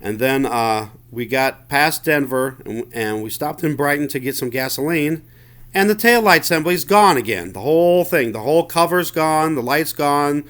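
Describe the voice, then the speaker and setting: A man giving an informal account of a grievance as a customer, halting and repetitive, sounding aggrieved. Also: fairly high pitch, fairly bright tone. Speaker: customer phoning in a complaint.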